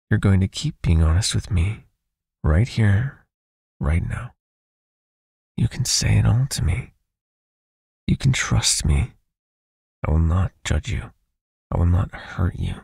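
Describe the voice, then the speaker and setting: A man playing a possessive, soothing vampire captor in an intimate ASMR hypnosis roleplay, speaking slowly and breathily, coaxing and gently commanding. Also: no echo, clean studio sound, heavily acted. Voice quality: husky, breathy, low, often near a whisper.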